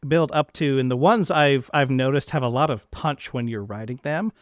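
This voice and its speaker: A man hosts an interview podcast in an easy conversational style. His voice sits at a middle pitch, and he talks in an animated way, dropping into imagined lines as examples. The sound is dry, with very little room. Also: normal speed, no echo.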